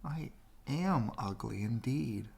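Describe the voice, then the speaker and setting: A man voicing a lonely, sad little ugly duckling in a bedtime story, soft and plaintive. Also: medium pitch, lively intonation, slow, creaky.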